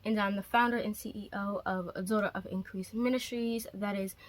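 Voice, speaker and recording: A young woman hosting her faith vlog in a relaxed, rambling way, sounding nasal from a cold coming on. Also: mid-range voice, moderate pace. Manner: relaxed, rambling, many ums. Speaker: YouTube ministry host. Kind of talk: casual chatty vlog.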